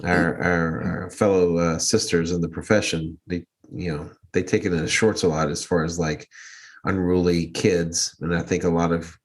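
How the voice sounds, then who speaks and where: A man's voice: deep voice, little pitch movement, moderate pace. A man with a big voice, a teacher co-hosting a podcast, speaking frankly and assertively with a wry edge. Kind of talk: reflective casual discussion.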